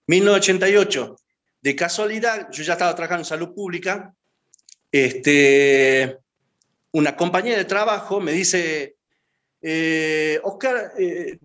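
A man's voice: on the high side, a bit bright. A man, answering interview questions and reminiscing in a rambling way, with many hesitations and filler words. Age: 50s. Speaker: guest. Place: his home.

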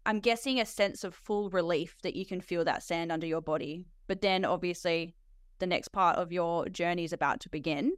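An Australian woman, a nurse hosting a podcast interview, talking in an animated way with frequent laughs. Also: on the low side, moderate pace, clear, resonant tone, no echo, clean studio sound. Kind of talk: conversational interview.

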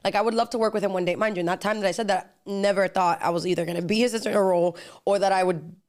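A young woman guest talks at a quick clip in relaxed conversation. She fills her sentences with "like" and switches into Spanish phrases mid-thought. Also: medium pitch. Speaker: guest, singer and actress. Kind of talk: casual interview chat.

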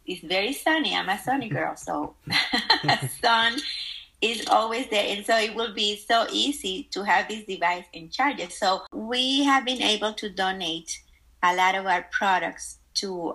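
A woman from the Dominican Republic talks as a podcast guest, her voice bright with a husky edge. She tells her stories earnestly, stringing thoughts together with "um" and "and so". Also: mid-range voice, moderate pace, poor audio. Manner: earnest storytelling with many "um" and "and so". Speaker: company co-founder, guest. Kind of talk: casual podcast interview.

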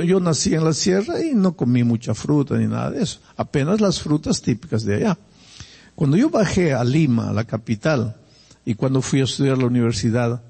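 Older Peruvian preacher, gravelly and husky. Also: male voice, medium pitch, big-room sound. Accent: Peruvian Spanish. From Peru. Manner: animated, swings between loud emphasis and quiet asides. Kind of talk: sermon with personal stories.

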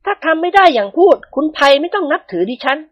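A woman voicing a fierce, scheming jealous young wife in a storybook reading, speaking hard and sharp. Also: extremely high pitch, sing-song, fast talker, muffled recording, voice pushed up. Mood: stern.

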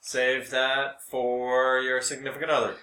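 A man's voice: medium pitch, monotone, a little slow, pushed and bright, reverberant. An American man co-hosting a casual comedy podcast with dry, self-deprecating humor. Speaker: podcast co-host.

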